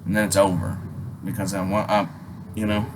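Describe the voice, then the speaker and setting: A man's voice: on the low side, flat delivery, fairly quick. Profane middle-aged man over noisy ambience. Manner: profane, emphatic, digressive. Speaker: storyteller and video host. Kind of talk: casual, rambling personal storytelling.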